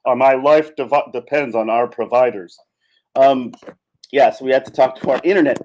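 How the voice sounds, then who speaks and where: A man hosting a solo livestream in casual chat, rambling and halting as he thinks aloud. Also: mid-range voice, a little mellow, a little hiss or hum, poor audio. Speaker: livestream host.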